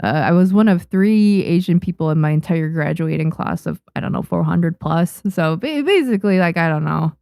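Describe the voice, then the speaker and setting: A woman's voice: fairly low pitch, animated, moderate pace, gravelly, few pauses, mellow, clear, resonant tone, no echo, studio quality. A young woman, a native Japanese speaker, chatting casually on a live stream, reading and answering viewers' questions with many restarts and fillers. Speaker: streamer and manga artist.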